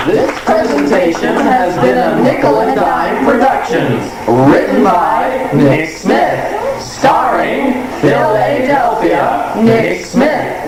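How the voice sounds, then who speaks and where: A man reading radio play credits as an announcer, on an echoey cassette with loud music. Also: sits high, lively intonation, takes it slowly, a loud crowd.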